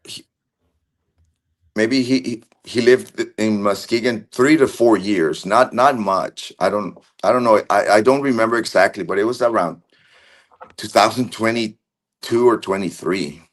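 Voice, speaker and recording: Man, low voice, halting, many restarts and fillers, Argentine background, video call guest. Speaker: interview guest. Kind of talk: casual recollection.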